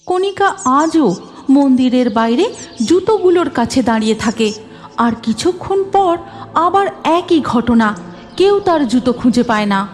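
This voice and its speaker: High-pitched woman narrating a cartoon. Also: lively intonation, unhurried, darkish tone, soft background music. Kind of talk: scripted cartoon story narration.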